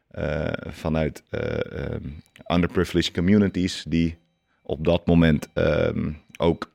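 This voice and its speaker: A man's voice: deep voice, vocal fry, no room sound. A man talking reflectively in an interview, searching for words and dropping in English phrases for emphasis. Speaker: interviewee, activist.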